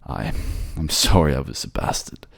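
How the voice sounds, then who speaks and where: A man's voice: normal speed, close to an everyday voice. A man playing a devoted, self-sacrificing lover in a fantasy audio drama, soothing and earnest. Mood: resigned farewell.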